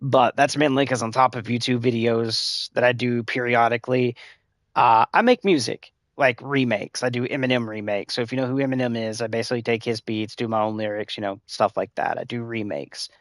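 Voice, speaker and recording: A slightly hoarse man chatting through a live gameplay stream at a middling pitch, explaining builds in a loose, rambling way. His voice is dry and close, with no room sound behind it. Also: steady tone, brisk, no room sound. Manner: rambling, filler-heavy advice-giving. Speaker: game streamer.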